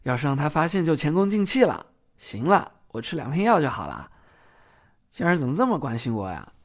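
A man's voice: fairly high pitch, very animated, fairly quick. Man playing a lightish-voiced sweet young guy. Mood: teasing. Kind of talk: audio drama acting.

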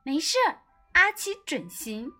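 A woman's voice: high voice, very animated, a little slow, bright, forceful tone, soft background music, heavily acted. A woman voicing a kind, encouraging friend in a children's fairy tale, speaking earnestly.

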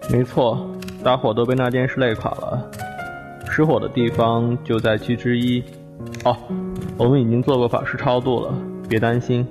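A man's voice: mid-range voice, level tone, moderate pace, music in the background, lightly acted. An actor playing a courteous, solemn middle-aged man receiving guests in a radio drama. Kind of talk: Chinese radio drama acting.

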